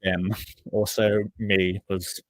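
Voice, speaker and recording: A young man in his twenties with a lowish voice and dry, close sound. He chats casually during a football match and rambles through his stories, stopping to correct himself. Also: level tone, takes it slowly, no room sound. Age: 20s. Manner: rambling, self-correcting storyteller. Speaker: co-host of a live football watchalong. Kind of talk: casual chat.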